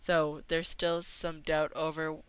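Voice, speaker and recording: A woman's voice: low-pitched, little pitch movement, takes it slowly, smooth, dry recording. A woman reading a visual novel script aloud as a let's player, sometimes stumbling over her words. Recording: music playing behind the voice. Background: game music.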